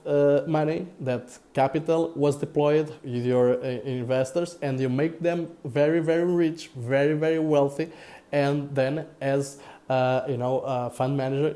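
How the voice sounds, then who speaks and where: A man from Portugal with a Portuguese accent, talking casually on a live broadcast, halting with many fillers and repeated words. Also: medium pitch, warm tone. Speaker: crypto investor vlogger.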